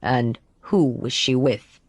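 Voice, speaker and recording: A woman voicing a stern, controlled father who speaks in a formal, measured way, as in an audiobook. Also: extremely low pitch, darkish tone, dry recording, pitched below the performer's own voice, exaggerated voice. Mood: cold, controlled. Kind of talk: audiobook character voice.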